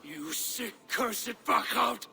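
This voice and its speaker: An actor playing a stern, disgusted Viking warrior with a fierce temper in a video game, grim and blunt. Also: male voice, very high-pitched, slow, gravelly, bright, forceful tone, full character voice. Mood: furious. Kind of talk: video game cutscene acting.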